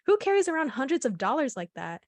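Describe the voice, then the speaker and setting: A woman's voice: sits high, very animated, fast talker, dry recording. An actress playing a frank, gossipy young female server giving an interview in a radio play. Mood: frank, musing.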